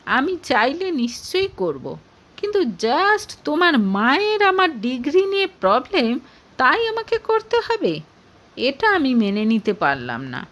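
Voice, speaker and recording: Woman acting hurt young woman, defiant, high voice, lively swooping intonation, indignant questions, audio romance. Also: unhurried, full character voice. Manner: indignant, challenging with pointed questions. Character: hurt, defiant young Bengali woman standing her ground.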